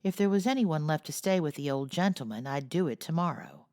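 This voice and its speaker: A woman reads as an impatient well-off teenage boy from a classic storybook, restless and eager to break free. The line swings widely in pitch with a gravelly edge, and the sound is close and dry. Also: expressive, fairly quick, darkish tone, dry recording. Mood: frustrated.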